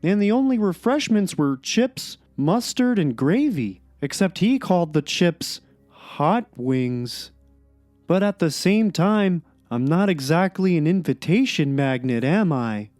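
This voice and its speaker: A woman's voice: lowish voice, lively intonation, measured pace, a little mellow, no room sound, faint music behind. A woman playing a resigned, self-deprecating cartoon blogger, giving a wry, downcast dramatic reading of a diary. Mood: resigned, glum.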